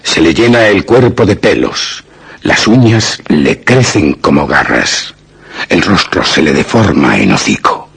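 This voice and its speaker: A man reads horror narration in a low, heavily rasping voice. His delivery is slow and dramatic, with lively swings in pitch. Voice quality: very raspy, gravelly.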